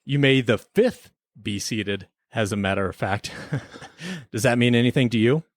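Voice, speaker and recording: A man's voice: medium pitch, measured pace, no echo, studio quality. A man hosting a comedy podcast in role as an investigator, with a mock-stern, theatrical manner. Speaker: podcast host. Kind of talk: comic role-play as investigator.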